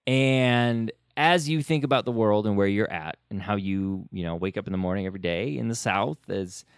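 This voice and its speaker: A man's voice: on the low side, normal speed, clean tone, no echo, studio quality. A man hosting a podcast in a casual, conversational way, chatty and enthusiastic. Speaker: podcast host.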